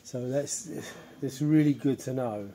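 A man's voice: medium pitch, unhurried, dark tone. A man in his fifties chatting casually while shopping in a big warehouse store. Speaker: renovation vlogger. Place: inside a large DIY warehouse store. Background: music.

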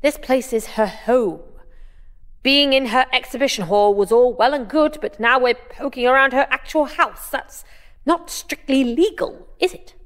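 An actress playing a plucky, cheeky journalist with an English accent in an audio drama, wry and teasing. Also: female voice, high-pitched, unhurried, a bit bright. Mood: wry, uneasy. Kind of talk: full-cast audio drama acting.

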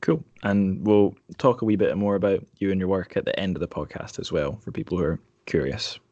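Guy hosting a podcast, kinda low voice, dry wit, chatty, really dry recording. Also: brisk, dark tone, no room sound, muffled recording. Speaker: podcast host. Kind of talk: casual interview chat.

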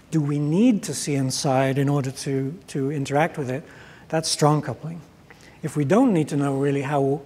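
A middle-aged man with a husky voice giving an explanatory conference keynote on a lapel mic, measured, with hesitant pauses. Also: fairly high pitch, dark tone. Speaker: keynote speaker. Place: large conference stage, indoors. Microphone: clip-on lapel mic. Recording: reverberant conference hall.

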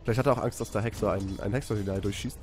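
A man from Saxony doing live commentary on a video game match, chatty and getting excited at big plays. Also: lowish voice, very fast, music in the background. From Saxony, Germany. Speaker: esports commentator.

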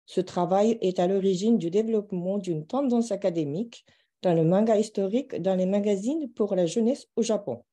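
A woman's voice: mid-range voice, a little fast, mellow. A middle-aged woman giving a formal, scripted introduction as a seminar moderator on a video call.

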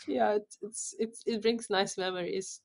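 A woman in her thirties whose first language is Polish, a company founder talking thoughtfully with halting restarts on a video call. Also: medium pitch, very animated, creaky. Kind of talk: casual interview. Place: home room on a video call.

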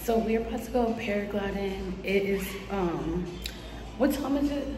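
A young woman vlogging on a trip, talking straight to her viewers in a slangy, chatty way, her voice still a bit hoarse. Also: mid-range voice, expressive, normal speed, poor audio. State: recovering hoarse voice. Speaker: travel vlogger. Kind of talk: casual vlog talk to camera.

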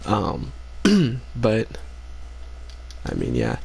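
Young dude streaming games at 3am, gravelly low voice, slow and drawn out, sleepy rambling chat. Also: male voice, wildly swooping pitch, darkish tone. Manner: rambling, laid-back, many fillers, reads chat aloud. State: tired late at night. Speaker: game streamer. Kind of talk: casual live-stream chat while gaming.

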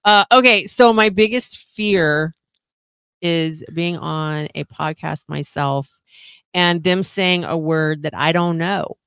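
Podcast host lady, smooth clear voice, dry studio sound, laughs a lot. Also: low voice, slow pace, fairly bright tone, dry recording. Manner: upbeat, laughs often, leads the topics. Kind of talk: casual round-table chat. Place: podcast studio with several people at a table. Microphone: studio mic on an arm.

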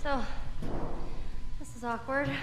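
A woman's voice: high voice, fairly even intonation, slow, very noisy. A woman playing a feisty teenage American girl, blunt and wry, in a video game drama. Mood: wry, teasing. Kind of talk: naturalistic video game acting.

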